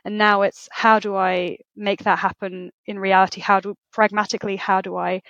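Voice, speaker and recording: A woman's voice: medium pitch, little pitch movement, normal speed, a bit bright, dry recording, highs cut off. A woman speaking as an expert guest in a conversational interview, explaining things and often checking with a tag question. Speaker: interview guest, industry editor.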